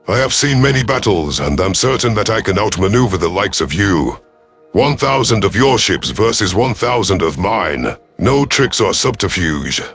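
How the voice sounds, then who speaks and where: A man voicing a proud, calculating veteran alien rebel leader in a sci-fi audio story, measured, formal and imperious. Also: lowish voice, a bit bright. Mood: confident challenge.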